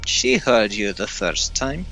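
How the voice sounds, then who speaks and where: An actor playing a smug, theatrical villain with a condescending, mock-courteous manner, in a comic audio drama. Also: male voice, on the low side, sing-song, slow pace, sharp tone, a little hiss or hum, exaggerated voice, low-quality recording. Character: smug, theatrical Soviet villain who is more than human.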